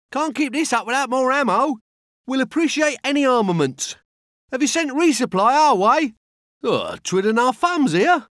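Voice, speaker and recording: An easygoing infantry soldier in a war game, matter-of-fact and colloquial. His laid-back lines move freely up and down in pitch, in a dry studio sound. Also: fairly bright tone, dry recording, exaggerated voice. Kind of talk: video game unit barks.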